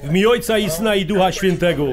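An actor playing a blunt, bossy but generous older uncle with a Polish highlander accent in a radio drama. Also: male voice, fast, a crowd in the background, close to an everyday voice. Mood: bossy, folksy.

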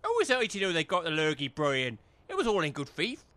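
Man doing a high-voiced, wheedling village chancer. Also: wildly swooping pitch, brisk, pushed and bright, no room sound, full character voice. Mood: defensive. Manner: rustic dialect, cajoling. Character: wheedling, chancer village labourer, rustic and chummy. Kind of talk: comedy audio drama.

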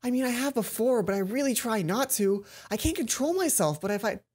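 A man voicing a fiery, protective teenage schoolgirl from a visual novel, emphatic and quick to scold. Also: extremely high pitch, animated, a little mellow, slightly rough, voice pushed up, full character voice. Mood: anxious pleading. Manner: indignant, emphatic, raised voice. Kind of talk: visual novel character reading. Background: game music.

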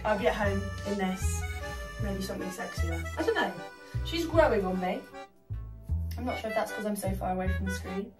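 Giggly young woman, echoey room. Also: medium pitch. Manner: giggly, animated self-talk. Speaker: lingerie reviewer. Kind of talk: casual try-on chat. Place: bright bedroom indoors. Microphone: camera across the room. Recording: echoey room, distant mic, music behind.

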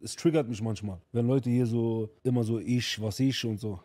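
A man's voice: medium pitch, steady tone, normal speed, warm tone. A man streaming a reaction video, chatting casually and giving strong opinions to his viewers. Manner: chatty and opinionated, speaks to chat. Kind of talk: casual reaction chat to a live chat.

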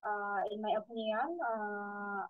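A woman's voice: medium pitch, fairly even intonation, slow, no room sound. A young woman with an Indonesian accent, a student answering speaking-test questions over a headset on a video call, hesitant and full of fillers and repeated words. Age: young adult. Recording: dry, phone-like call audio.

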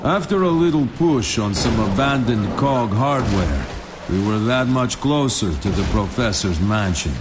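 Wry male lieutenant, lowish but animated, dry mission narration for a game, music behind. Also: measured pace, noisy background. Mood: dry, matter-of-fact.

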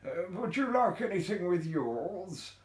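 Man doing a raised-pitch old English porter. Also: sing-song, unhurried, reverberant. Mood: familiar. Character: chummy, hesitant old male hotel night porter.

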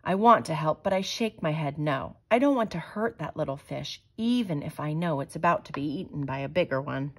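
A woman reading a children's picture book aloud in a measured, expressive way. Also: sits low. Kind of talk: storybook read-aloud.

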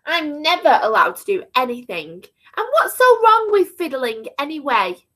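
A woman's voice: high-pitched, very animated, moderate pace, pushed and bright, heavily acted. A woman voicing a fidgety, mischievous little schoolgirl in a storybook, in an exclamatory, childlike manner. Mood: whiny complaint.

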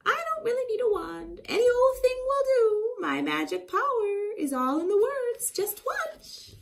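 A woman voicing a gleeful little female witch in a storybook, with a high, screechy voice. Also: expressive, a little slow, creaky, full character voice. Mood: coaxing. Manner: sing-song chanting of rhymes.

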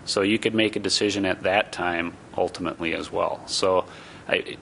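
Low gravelly male city staffer. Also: steady tone, normal speed, a bit bright, a little hiss or hum. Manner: stammering restarts, explanatory. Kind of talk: explaining options to council. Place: city council chamber.

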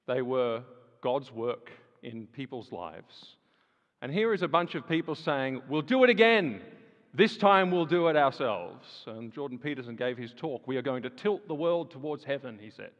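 A man giving a sermon-style talk to a live audience, speaking conversationally with fillers and rising emphasis. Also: medium pitch. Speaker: guest speaker. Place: large hall with audience. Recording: dull sound with long hall reverb.

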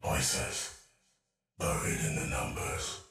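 An actor playing a vengeful, paranoid cyborg assassin in a video game, menacing and unhinged, muttering through robotic processing. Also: male voice, slow pace, a bit bright. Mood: haunted, confused. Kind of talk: video game character voice lines. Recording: roomy, distant, music behind.

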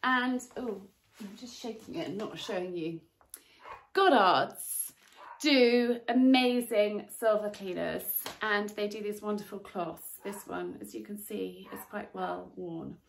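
A woman's voice: medium pitch, takes it slowly, creaky. Chatty woman in an echoey room. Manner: friendly how-to chat with filler words, working as she talks. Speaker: home and cleaning vlogger. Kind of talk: chatty cleaning demonstration. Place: sunlit garden room in a home. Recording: echoey room with faint noise.

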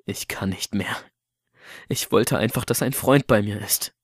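A man playing a lonely, apologetic man trapped at home in a horror audiobook, halting and resigned. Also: on the low side, no echo, clean studio sound. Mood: despairing.

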